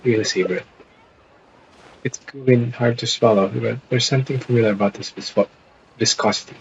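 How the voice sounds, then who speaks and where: A man streaming a game, casually reading dialogue aloud in a hesitant, halting way through a band-limited microphone. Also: medium pitch, steady tone, vocal fry, dull audio, noisy background. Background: game music.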